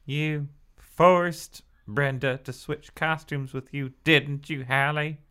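Woman acting accusing teenage girl, storybook thriller, insistent, melodramatic, sharp tone, raised pitch. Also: fairly even intonation, takes it slowly, clear, resonant tone. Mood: suspicious accusation.

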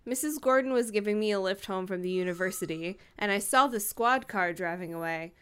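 Woman, clear resonant voice, medium pitch, book reading, casual wry asides. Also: a little fast, a bit bright. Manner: irreverent, wry. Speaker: podcast co-host. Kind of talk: reading a novel aloud with joking asides.

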